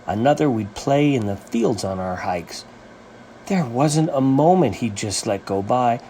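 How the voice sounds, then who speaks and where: A man reading a rhyming children's story as its author, with an animated, sing-song rhythmic delivery. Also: on the low side, a little hiss or hum.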